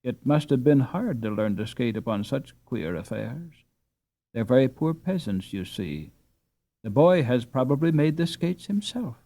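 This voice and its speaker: Casting: man playing a well-bred storybook girl of thirteen, gentle and earnest, lively intonation. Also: medium pitch, unhurried. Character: kind, well-bred girl of nearly fourteen.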